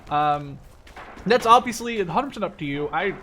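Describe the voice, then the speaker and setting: A man's voice: high-pitched, expressive, moderate pace, sharp tone, a little hiss or hum. A man in his twenties from the Netherlands giving casual, rambling gameplay commentary, often correcting himself and swearing casually. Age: 20s. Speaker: gaming video host.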